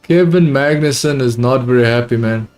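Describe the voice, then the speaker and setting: A young man streams live reactions to a motor race, talking in English that is not his first language, with an accent that comes close to British. He gets excited, repeats his own phrases and calls his viewers dude. Faint music plays low behind him. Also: normal speed. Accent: close to British, not fully. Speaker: livestream host watching a race. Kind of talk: casual live reaction commentary.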